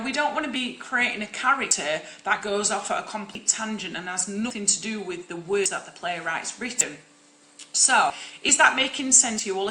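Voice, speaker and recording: Loud, bright-voiced woman teaching. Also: mid-range voice, echoey room, poor audio. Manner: loud, projecting, direct and encouraging. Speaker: acting coach. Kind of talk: live-streamed teaching talk.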